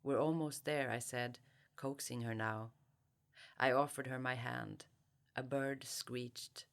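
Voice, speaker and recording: Swedish-accented woman author with creaky voice. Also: very deep voice, steady tone. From Sweden. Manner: halting, searching for words. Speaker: author, interview guest. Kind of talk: thoughtful interview talk and reading aloud from a novel.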